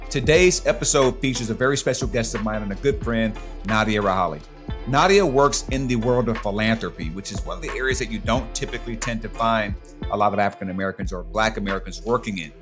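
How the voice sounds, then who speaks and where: Male podcast host, medium-pitched voice. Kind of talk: podcast intro and interview chat.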